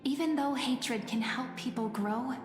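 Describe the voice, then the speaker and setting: A woman voicing a gentle, teasing young childhood friend in a video game, speaking playfully and earnestly. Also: high-pitched, a little slow. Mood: earnest, encouraging. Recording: long reverb with music behind.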